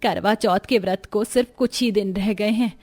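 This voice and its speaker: A woman's voice: mid-range voice, normal speed, a little mellow. A woman narrating a scripted family story in a dramatic storytelling style.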